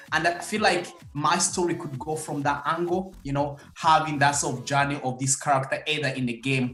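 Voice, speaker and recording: A man's voice: medium pitch, fairly bright tone, roomy sound. A young man with a Congolese accent, talking earnestly as a guest about his creative work. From Democratic Republic of Congo. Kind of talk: casual interview.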